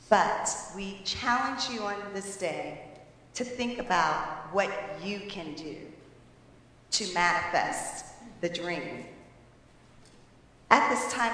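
A woman's voice: mid-range voice, fairly bright tone. A woman hosting an event, formally addressing an assembly. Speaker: event host. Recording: very echoey hall with faint noise.

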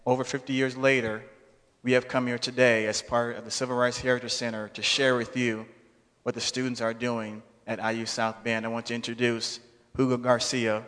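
A man's voice: mid-range voice, fairly bright tone. A man giving a public address to an audience in a preacherly manner. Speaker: speaker introducing a program. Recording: long hall reverb.

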